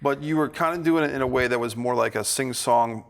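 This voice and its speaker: A man working as a director, giving casual feedback to actors in a halting, thinking-aloud way. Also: mid-range voice, creaky.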